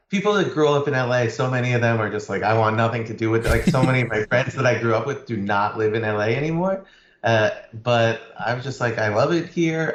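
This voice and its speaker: Easygoing man on an echoey line. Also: on the low side. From Los Angeles. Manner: easygoing, repeats words while thinking. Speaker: screenwriter guest. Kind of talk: casual interview chat. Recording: echoey remote call, dull.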